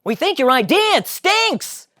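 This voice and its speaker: A woman's voice: very high voice, very animated, unhurried, pushed and bright, pitched above the performer's own voice, heavily acted. A woman voicing a blunt, outspoken woman who runs out of patience. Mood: exasperated. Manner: blunt, exasperated.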